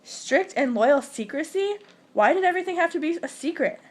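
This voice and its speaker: Teen girl interviewer, high pitch, reads her questions straight. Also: lively intonation, a little fast, darkish tone. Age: teenager. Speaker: interviewer in a school project. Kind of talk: reading prepared interview questions.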